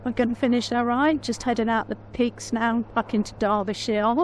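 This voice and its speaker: A woman with a naturally quiet voice, chatting casually on a vlog and laughing often. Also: a little high, level tone, a little fast, a little hiss or hum. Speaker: motorcycle vlogger, pillion rider. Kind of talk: casual chat.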